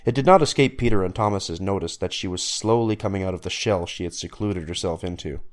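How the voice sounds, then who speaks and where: A man's voice: low-pitched, brisk. A man reading scripted story narration as the narrator.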